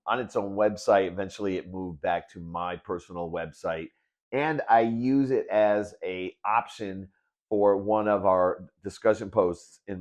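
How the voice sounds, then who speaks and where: A man's voice: deep voice, darkish tone. A man in his early fifties sharing personal reflections as the creator and narrator of a video. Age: early 50s. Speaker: video creator and participant, sociology teacher.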